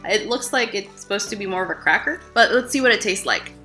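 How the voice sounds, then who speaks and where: A woman in her thirties from the United States, chatting casually as she tries and reviews snacks at home, with animated reactions. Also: medium pitch, fast talker, soft background music. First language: English. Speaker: food vlogger. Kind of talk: casual taste-test vlog. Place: living room at home. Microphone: camera at desk distance.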